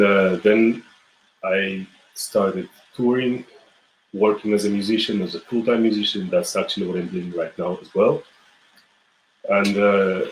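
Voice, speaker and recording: Young male music teacher, casual and full of restarts, low voice, faint room hum behind him. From Bulgaria. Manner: relaxed, halting, many fillers and restarts. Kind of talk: casual live online lesson. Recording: echoey room with faint ambience.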